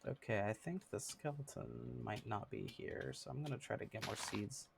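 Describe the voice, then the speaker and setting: Casual gaming streamer, 30s, chatty, giggly, filler-heavy, mid pitch. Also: moderate pace, gravelly, background noise. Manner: chatty, laughs often, many fillers. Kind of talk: casual live-stream chat with viewers.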